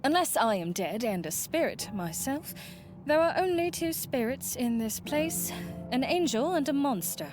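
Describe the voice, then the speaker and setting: A woman's voice: sits high, animated, moderate pace, music in the background. A woman playing a wistful, doting old friend in a visual novel, speaking in florid, archaic phrases. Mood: teasing.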